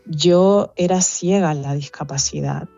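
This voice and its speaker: A middle-aged woman with a Venezuelan accent, speaking thoughtfully as an interview guest, pausing with fillers as she reflects. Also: deep voice, vocal fry, mellow, muffled recording, faint music behind. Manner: reflective, earnest, with fillers and restarts. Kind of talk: conversational interview.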